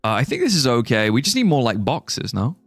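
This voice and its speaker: A man's voice: medium pitch, huge pitch swings, fairly quick, a bit bright, breathy edge, no room sound, soft background music. A young British man chatting casually while streaming a game, rambling and restarting his sentences. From born in Singapore, raised British. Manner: rambling, restarts sentences, laughs at chat jokes. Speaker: livestreaming gamer. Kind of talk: casual chat while playing a game and reading chat.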